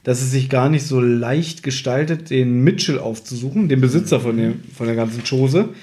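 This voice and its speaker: A man's voice: medium pitch, moderate pace, dark tone. A man co-hosting a podcast, chatting casually and conversationally as he recaps an audio drama from his notes. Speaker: podcast co-host.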